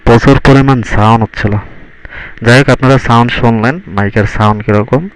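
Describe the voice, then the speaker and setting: A man's voice: medium pitch, steady tone, a little fast. A man casually showing off microphones for sale, chatty and repetitive, often saying hello and okay. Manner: chatty, repeats hello and okay as he tests mics. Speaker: seller demonstrating microphones. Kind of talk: casual product demo vlog. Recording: echoey room with loud noise.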